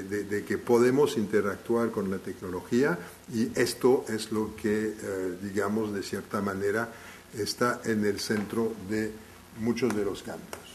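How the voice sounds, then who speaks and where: A man who is not a native speaker of the language gives a live lecture to an audience. He talks in a halting way, restarting phrases often as he thinks aloud. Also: fairly low pitch, slight background noise. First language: not Spanish.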